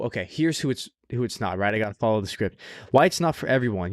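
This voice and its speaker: A man's voice: medium pitch, no echo, clean studio sound. A man hosting a podcast, talking casually and informally in a rambling way, with some casual swearing. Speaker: anime podcast host. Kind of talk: casual podcast chat, reviewing shows.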